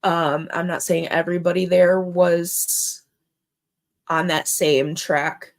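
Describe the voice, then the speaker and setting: Slow, gravelly-voiced emotional woman. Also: fairly low pitch, little pitch movement. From Minnesota, USA. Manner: emotional, halting, earnest. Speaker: host. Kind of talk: emotional personal commentary vlog.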